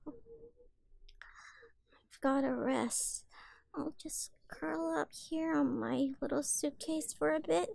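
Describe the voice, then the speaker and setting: A woman's voice: sits high, takes it slowly, vocal fry, long pauses, darkish tone, no echo, exaggerated voice. A woman playing a small, meek, eager-to-please catgirl in an audio roleplay, stammering hesitantly and apologetically. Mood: weary, quiet. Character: timid, eager-to-please small catgirl, homeless stray kitten. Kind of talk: audio roleplay addressed to the listener.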